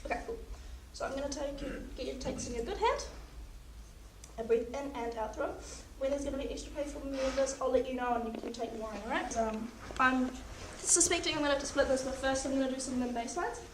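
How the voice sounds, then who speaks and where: High-voiced young woman, losing her voice. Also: fast talker. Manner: calm, instructive, talks the patient through each step. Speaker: paramedic student in a practical exam. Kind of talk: narrating a clinical skills demonstration. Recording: echoey, distant, noisy room.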